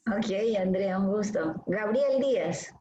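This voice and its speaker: A woman from Guayaquil, a lecturer briskly calling the roll in an online class over a video call. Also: medium pitch, lively intonation, a crowd in the background. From Guayaquil, Ecuador. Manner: brisk, friendly, calling names. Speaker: dermatology lecturer. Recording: echoey dull video-call audio with faint hiss.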